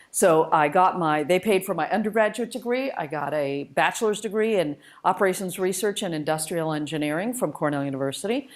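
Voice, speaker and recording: Woman speaker, slightly low voice. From United States and Malaysia, born in India. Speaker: guest speaker, spacecraft engineer. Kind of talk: informal public lecture with personal stories.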